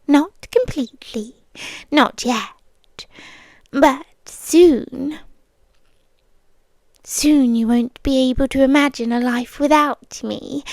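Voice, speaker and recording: British woman doing a yandere vampire gf asmr, british, super slow breathy whispery, seductive n possessive, really close dry mic. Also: sits high, darkish tone, dry recording, exaggerated voice. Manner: breathy, drawn-out, often dropping to a whisper. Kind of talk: intimate ASMR roleplay. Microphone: close ASMR microphone.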